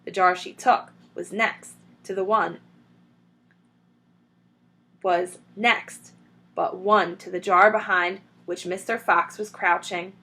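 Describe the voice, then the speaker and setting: A woman, a teacher, reading a children's story aloud with expressive narration. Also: fairly low pitch, takes it slowly, pauses often.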